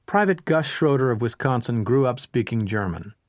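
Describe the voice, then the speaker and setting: A man narrating a history audiobook in a measured, even way. His voice sits at a middle pitch for a man. The recording is dry and close, with no room sound. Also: no room sound. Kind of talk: scripted narration of a history book.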